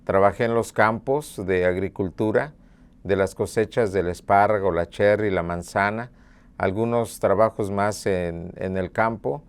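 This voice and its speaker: Earnest middle-aged man, low smooth voice. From Mexico (Puebla). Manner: earnest, measured, with hesitation fillers. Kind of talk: documentary interview, reflective storytelling.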